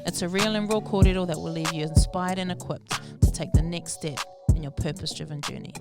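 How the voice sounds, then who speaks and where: A woman's voice: lowish voice, fairly even intonation, a little fast, loud music behind the voice. A woman with a New Zealand accent hosting a podcast in an informal way, mixing Māori words into her English. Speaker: podcast host. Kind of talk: casual interview hosting.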